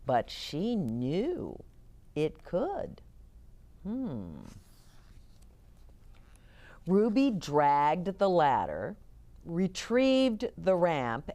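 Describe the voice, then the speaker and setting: A woman reading picture books aloud to children in an expressive way, chatting to her young listeners as host. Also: fairly low pitch. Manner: expressive, explains words and chats to young listeners. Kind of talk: animated children's read-aloud with asides.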